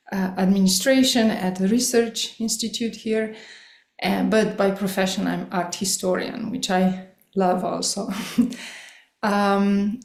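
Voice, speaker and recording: A woman's voice: medium pitch, darkish tone, roomy sound. A woman from Slovenia introducing herself as a meditation co-host in a friendly, easygoing way. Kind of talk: self-introduction on a video call.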